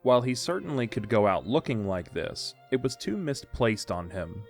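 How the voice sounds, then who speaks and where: A man narrating a scripted audio drama in a measured, literary storytelling delivery. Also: medium pitch, very animated, faint music behind.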